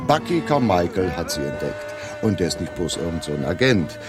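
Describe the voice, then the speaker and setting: An actor playing a brash, lecherous, fat Hollywood agent who boasts effusively in a radio play. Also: male voice, deep voice, very animated, a little fast. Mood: jovial, boastful. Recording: radio drama with clear music behind.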